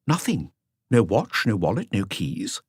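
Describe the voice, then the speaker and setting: Man acting curious police lab man, audiobook dialogue, matter-of-fact, lively intonation, dry sound. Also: on the low side, unhurried, breathy edge, no room sound, heavily acted. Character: inquisitive, businesslike male police forensic technician.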